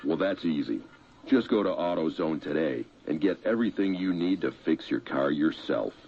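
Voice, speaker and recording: A tough male pitchman, rumbles through a radio commercial in an extremely low voice. He is deadpan and assured, full of gravel, on a slightly dull, noisy broadcast. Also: moderate pace. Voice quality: very deep, gravelly. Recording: dull radio ad with background sound.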